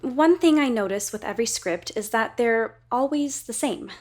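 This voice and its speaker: An eager young assistant. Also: female voice, a little high, expressive, moderate pace. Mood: earnest. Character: eager young woman assistant, bright ideas. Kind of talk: audio drama acting.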